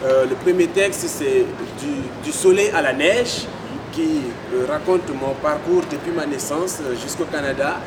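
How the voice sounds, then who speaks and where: A young man from Chad gives interview answers, pausing often with fillers. His voice sits a bit high. Loud noise runs behind him. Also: animated. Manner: hesitant, frequent fillers. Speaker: guest musician.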